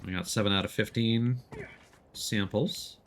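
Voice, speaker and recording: A man with a fairly low voice gives casual, explanatory commentary while playing a video game. He has an easygoing manner, and game noise runs behind his voice. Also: steady tone, a little slow, a bit bright. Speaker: game streamer. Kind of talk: casual gameplay commentary, explaining as they play. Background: video game sound.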